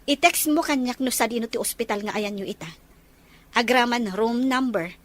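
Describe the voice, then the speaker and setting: A woman's voice: fairly high pitch, normal speed, natural voice. A woman playing a concerned, supportive elder sister in an Ilocano audio drama, inquisitive and sympathetic.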